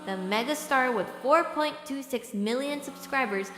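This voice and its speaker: A woman playing an anxious, apologetic, cash-strapped young streamer in an audio drama, halting and self-justifying. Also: high-pitched, natural voice. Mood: brooding. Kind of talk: audio drama acting with narration.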